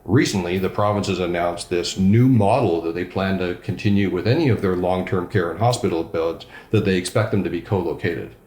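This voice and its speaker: Man, low pitch, emphatic, passionate, roomy echo. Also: a little fast, few pauses. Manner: enthusiastic, emphatic, self-described loud voice. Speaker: regional councillor. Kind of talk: conversational interview answers.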